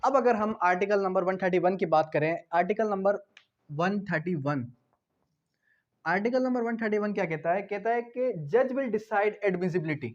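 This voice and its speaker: Man, Pakistani accent, high voice, didactic teacher, repetitive explanations, question-and-answer delivery, dull lo-fi recording. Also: animated, normal speed. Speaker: law teacher. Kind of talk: explanatory lecture mixing Hindi-Urdu with English legal terms.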